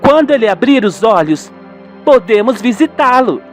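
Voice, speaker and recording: A man's voice: very high-pitched, big pitch swings, normal speed, soft background music, voice pushed up. A man voicing a grown-up daughter, earnest, sensible and worried, with an insistent way of talking.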